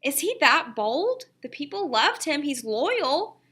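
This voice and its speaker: A woman, an elementary teacher reading a picture book aloud and giving pupils instructions in an expressive, deliberate way. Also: sits high.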